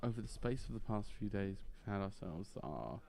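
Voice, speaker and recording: A man's voice: fairly low pitch, sing-song, brisk, creaky, dark tone. A man commentating a live game broadcast, rambling and self-deprecating.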